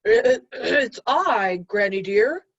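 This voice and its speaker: A woman's voice: mid-range voice, expressive, takes it slowly, lo-fi sound. A woman reading a storybook as a cunning wolf who pretends to be a weak, sweet old granny, wheedling and drawing out the words. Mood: sly, coaxing. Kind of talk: children's storybook read-aloud.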